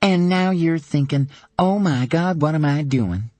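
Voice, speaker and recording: A man voicing a sharp-witted woman who teases her best friend with dry, quick banter. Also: fairly high pitch, no echo, voice pushed up, lightly acted. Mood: playful.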